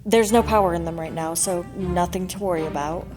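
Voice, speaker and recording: A woman's voice: lowish voice, brisk, lightly acted. A woman playing a practical woman adventurer. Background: music bed.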